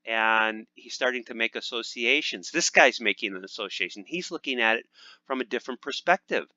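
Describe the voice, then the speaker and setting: Bright-voiced man teaching languages. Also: mid-range voice, a little fast, no echo, dull audio. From United States. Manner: upbeat, many fillers and restarts. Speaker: language teacher and channel host. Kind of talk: casual instructional talk over gameplay.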